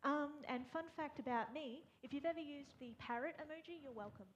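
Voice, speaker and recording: A woman's voice: sits high, fairly quick, highs cut off, slight background noise. A woman with an Australian accent, a developer relations engineer, talking to a live conference audience with dry humor. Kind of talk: casual talk to a live audience. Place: conference room on stage.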